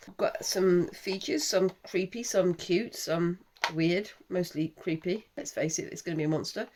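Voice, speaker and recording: A woman in her thirties with a croaky, failing voice, hosting a casual crafting vlog with her young daughter in a chatty, wry way. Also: lowish voice, moderate pace, darkish tone, slight background noise. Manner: chatty, self-mocking, wry. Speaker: channel host, mother.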